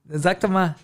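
A man co-hosting a podcast in casual, teasing conversation. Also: high-pitched. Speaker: podcast co-host. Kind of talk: casual chat.

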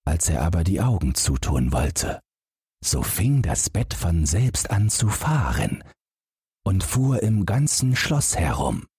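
A man narrating a fairy tale as an audiobook, measured and dramatic in his expressive storytelling. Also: low-pitched, slightly rough, dry recording, studio quality.

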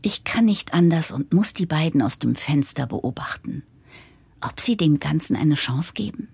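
An actress playing an earnest, hopeful young project leader, narrating a confiding, breathy inner monologue in an audio drama. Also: female voice, deep voice, expressive, moderate pace, a little mellow, no room sound, heavily acted. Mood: tense, hopeful.

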